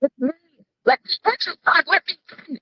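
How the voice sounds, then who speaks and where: A woman's voice: extremely high pitch, expressive, a little fast, sharp tone, no echo, lo-fi sound, heavily acted. A woman playing a panicked, shaken young woman fleeing a killer, frantic and agitated in a horror table read. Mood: frantic pleading. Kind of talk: screenplay table read acting.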